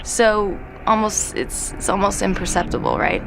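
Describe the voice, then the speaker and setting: A woman's voice: medium pitch, big pitch swings, moderate pace, vocal fry. A woman playing a jaded, self-deprecating night-owl composer in an audio drama, rambling bluntly with sardonic, slangy swearing. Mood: eager, defensive. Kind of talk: naturalistic audio drama interview acting. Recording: noisy room recording.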